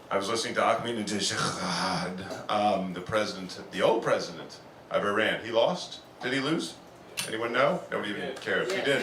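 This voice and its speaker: A man's voice: fairly low pitch, far from the mic. A man doing stand-up comedy to a live audience, rambling and slipping into mock voices. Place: comedy club stage. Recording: roomy club with audience behind.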